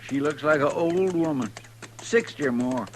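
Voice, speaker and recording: A man's voice: a little high, moderate pace, a little hiss or hum, natural voice. A man with a rural American accent playing a simple, earnest, deferential deputy in a 1950s radio western. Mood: wistful. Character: simple, folksy, deferential male marshal's deputy sidekick.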